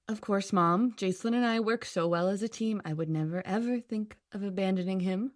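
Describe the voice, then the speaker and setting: A woman's voice: mid-range voice, a little fast, no echo, studio quality, close to an everyday voice. A woman playing a grudging, exasperated young daughter and mechanic in an audio drama, with a sarcastic, grumbling manner. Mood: polite, dutiful. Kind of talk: audio drama acting and narration. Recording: music under the voice.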